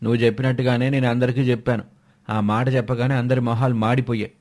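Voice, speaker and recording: A man's voice: mid-range voice, level tone, fast, darkish tone, lightly acted. A man playing a greedy, defensive village donkey-milk seller and husband in a fairy tale. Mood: grumbling. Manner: defensive, complaining. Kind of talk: acted dialogue in a moral fairy tale.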